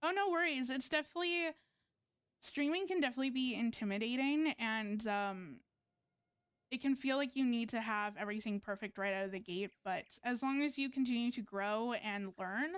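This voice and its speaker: Very clear, higher-voiced woman streaming games. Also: moderate pace, no echo, clean studio sound. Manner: hesitant, thinking aloud while playing. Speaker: video game live streamer. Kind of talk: casual chat to stream viewers while gaming.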